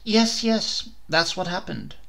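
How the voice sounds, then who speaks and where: A boastful talking parrot blusters and bluffs through a comic storybook tale, as a man voices him. The voice is bright and lively, swooping up and down, with a gravelly edge. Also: sits high, slow, exaggerated voice. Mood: blustering.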